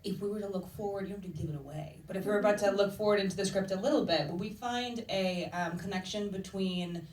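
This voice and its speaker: A woman's voice: medium pitch, quick pace, a little mellow. A woman interviewing conversationally, with a thoughtful, encouraging way of asking questions. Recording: echoey room, distant microphone.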